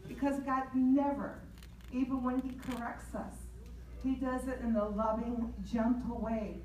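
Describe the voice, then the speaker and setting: Older female, earnest church testimony, unhurried and emphatic, echoey distant sound. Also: on the high side, flat delivery, darkish tone. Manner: deliberate, emphatic. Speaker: church member giving testimony. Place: large church sanctuary. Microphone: handheld mic, picked up by distant camera. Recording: echoey church from far off, faint noise.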